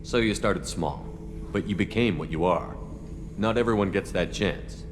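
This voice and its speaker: A man's voice: low-pitched, normal speed, noisy background, lightly acted. A man playing a resolute military commander in a video game, with a direct, businesslike way of talking.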